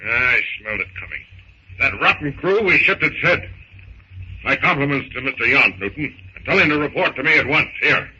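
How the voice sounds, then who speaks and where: A brusque, commanding male ship's captain, played by a radio actor, speaks with gruff authority at a middling pitch. The old 1930s recording is muffled, with clear noise over it. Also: expressive, normal speed, lightly acted. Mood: angry, commanding.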